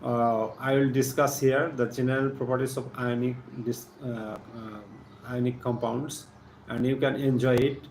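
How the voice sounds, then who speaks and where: Bangladeshi guy teaching chemistry live, halting and reading bits out, echoey room w a little noise. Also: medium pitch, level tone, a little mellow. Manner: halting, deliberate, repeats phrases. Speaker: science teacher hosting a live stream. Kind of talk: live online chemistry lesson, partly read aloud.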